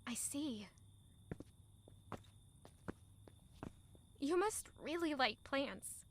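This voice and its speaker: A woman's voice: high voice, lively intonation, slow, a little hiss or hum, exaggerated voice. A woman playing a romantic, curious young woman in an audio drama, narrating and speaking with a playful sense of wonder. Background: faint music.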